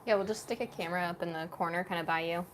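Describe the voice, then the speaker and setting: Woman cohost on a stream, kinda low voice, short teasing comments, bit of room noise. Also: racing. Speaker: co-host helping with the livestream. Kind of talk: casual off-hand chat. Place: home art studio.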